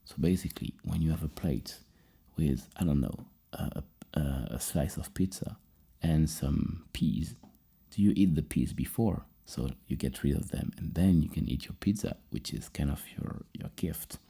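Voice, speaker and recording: Very deep, gravelly man speaking softly. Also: normal speed. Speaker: ASMR performer playing a psychologist. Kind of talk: soft-spoken ASMR therapy roleplay.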